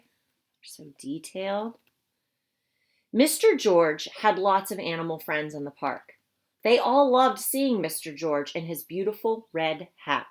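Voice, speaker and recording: Animated woman reading a children's storybook. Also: on the low side. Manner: expressive, teacherly, explains the pictures simply. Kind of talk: children's read-aloud with explanatory asides.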